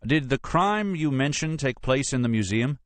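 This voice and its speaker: An audiobook character acted by a male narrator: a pompous, impatient male lawyer. His delivery is brusque and emphatic, swinging animatedly in pitch, in a dry, close recording. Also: animated, normal speed, dry recording, close to an everyday voice. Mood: stonewalling. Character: irritable, self-important male museum lawyer, brusque and dismissive.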